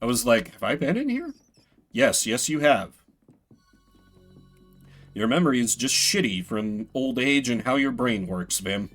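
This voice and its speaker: A man with a mid-pitched, very animated voice streams a game with faint music behind him. He chats casually and rambles, dropping playful, self-deprecating asides as he goes. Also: a little slow, pauses often. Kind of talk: casual live gameplay commentary and chat.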